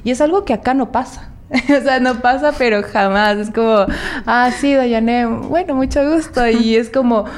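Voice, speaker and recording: A woman's voice: a little high, normal speed. A woman from Ecuador's Valle del Chota with an Ecuadorian Spanish accent, talking casually and animatedly as an interview guest. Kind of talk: casual conversational storytelling.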